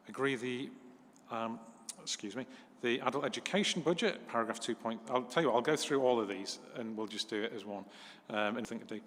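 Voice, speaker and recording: A man chairing a council meeting, relaxed and plain, with asides to viewers. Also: mid-range voice, a little hiss or hum. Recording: echoey council chamber.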